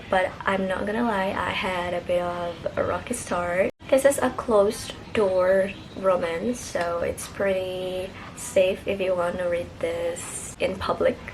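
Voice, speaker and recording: A chatty woman in her mid-twenties, from Indonesia and speaking English as a second language, talks through the books she has read in an easygoing vlog. Her pitch sits in the middle of the range. A clear hiss of noise runs under her voice. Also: low-quality recording. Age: mid-20s. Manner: chatty and gushing, with little laughs. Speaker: book vlogger. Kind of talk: casual book review vlog.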